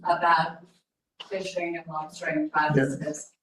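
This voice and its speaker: A woman in the audience with a quiet voice, speaking earnestly and insistently. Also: low-pitched, level tone, a little slow. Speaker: audience member. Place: library meeting room. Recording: distant, echoey room with hiss.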